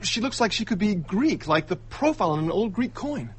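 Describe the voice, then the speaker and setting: An actor playing an impulsive, hot-headed young male traveller in a radio drama, blurting things out excitably. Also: sits high, a little fast, husky, heavily acted. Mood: flustered.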